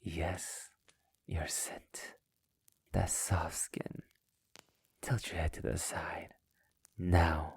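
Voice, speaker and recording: A man playing a sadistic, possessive immortal vampire master in an intimate ASMR roleplay, taunting theatrically in a breathy, gravelly voice that often nears a whisper. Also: low voice, flat delivery, very slow, long pauses, dry recording, heavily acted. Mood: hungry, possessive.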